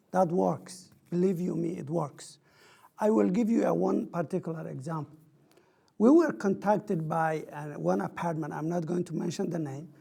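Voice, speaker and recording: A man's voice: a little high, gravelly, mellow, slightly rough. A man on a public panel, explaining his outreach work earnestly, with examples and questions. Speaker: panelist from a community outreach program.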